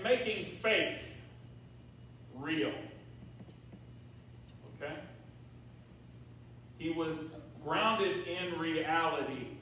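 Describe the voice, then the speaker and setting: An older American man preaching a sermon as a pastor, conversational, with repeated phrases and emphatic pauses. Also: high-pitched, a bit bright. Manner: conversational, rhetorical questions with check-ins to congregation. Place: large church sanctuary, at pulpit. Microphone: far camera in the room. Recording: very echoey church, distant mic, faint noise.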